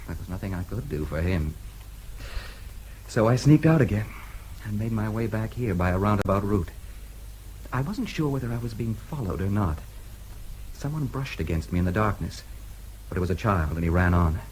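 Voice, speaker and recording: Actor as a deep-voiced American newspaperman. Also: lively intonation, moderate pace, pauses often, a little mellow, slight background noise, close to an everyday voice, rough recording. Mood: tense, urgent. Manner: laconic, matter-of-fact. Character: cool, daring American newspaperman on the run. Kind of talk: radio drama acting.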